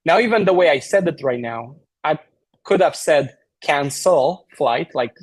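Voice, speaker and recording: A man's voice: on the high side, lively intonation, breathy edge, no room sound. A man from Romania with a slight Romanian accent, explaining things as a guest in a podcast interview, with lots of fillers and restarts. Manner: fast, filler-heavy explaining with many restarts. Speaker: tech company guest.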